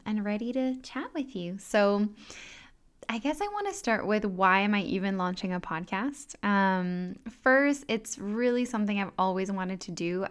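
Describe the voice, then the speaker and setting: A woman in her thirties, French but sounding American, hosting a calm wellness podcast in a soft, gentle, unhurried way. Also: mid-range voice, clean tone. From France. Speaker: podcast host and health coach. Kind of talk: calm solo podcast talk with guided breathing.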